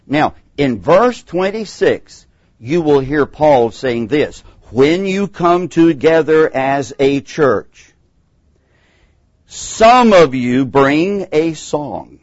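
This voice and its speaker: A middle-aged man with a Texan accent teaching a Bible class in a classroom, animated and acting out the voices he quotes. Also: on the high side, no room sound, dull audio. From Texas.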